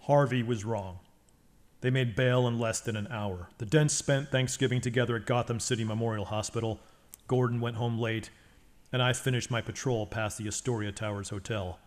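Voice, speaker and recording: A man's voice: medium pitch, fairly even intonation, moderate pace, lightly acted. A man playing a stern, brooding vigilante detective in a radio noir, with terse delivery. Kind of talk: noir first-person narration.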